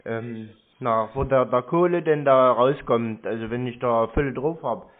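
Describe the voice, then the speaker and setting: A man's voice: mid-range voice, a little slow. A man talking casually on the phone, asking questions in a hesitant, rambling way. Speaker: prank caller posing as a customer. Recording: muffled narrow-band phone line.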